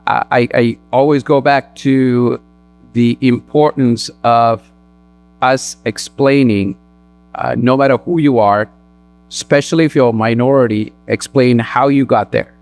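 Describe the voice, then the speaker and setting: A man's voice: medium pitch, steady tone, darkish tone, no room sound. Earnest man from Sri Lanka. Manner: earnest, speaks in quick bursts. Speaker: guest speaker. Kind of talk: personal talk at a community event. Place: mosque.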